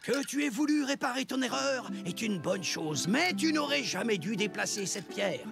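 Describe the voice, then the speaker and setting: A man's voice: very high voice, animated, moderate pace, gravelly, full character voice. A man voicing a stern but fair prehistoric clan chief in a French children's cartoon, solemn and authoritative. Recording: loud music behind the voice.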